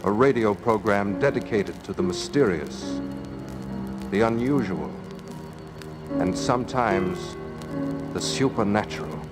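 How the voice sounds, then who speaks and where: Male 1940s radio announcer, solemn and deliberate, unhurried low voice over prominent music. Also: expressive. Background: clear music.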